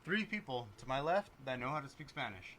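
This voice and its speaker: A man's voice: mid-range voice, big pitch swings, brisk, fairly bright tone, a little hiss or hum. A man hosting a podcast, chatting casually and joking, often repeating himself. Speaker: podcast host. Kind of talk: casual chat.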